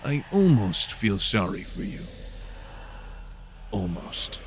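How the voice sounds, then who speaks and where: A man's voice: lowish voice, sing-song, takes it slowly, a little hiss or hum. A man voicing a cold, vengeful supernatural detective in a horror audio drama, with a menacing, contemptuous manner. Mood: cool menace.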